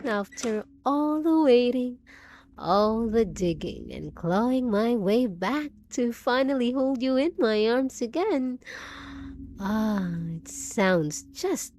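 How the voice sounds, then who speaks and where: Woman as undead yandere bride, higher-pitched, unhurried, sugary, possessive, teasing, unhinged, audio roleplay. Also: lively intonation, a little mellow, slight background noise. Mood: longing, menacing. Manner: drawn-out, cooing endearments with giggles and teasing questions. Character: possessive, unhinged undead bride, sweetly menacing, coaxing her spouse. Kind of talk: scripted roleplay audio drama.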